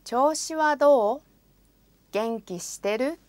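A woman with a fairly high voice models phrases for a language lesson. She says each line with careful pronunciation and then repeats it. The recording is dry and close. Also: slow, darkish tone, dry recording. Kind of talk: slow, repeated example phrases. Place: studio.

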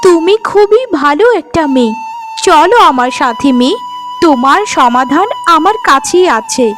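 A woman's voice: high-pitched, expressive, moderate pace, music in the background. A woman playing a kind, helpful magical fairy in a cartoon fairy tale, with a caring, reassuring delivery.

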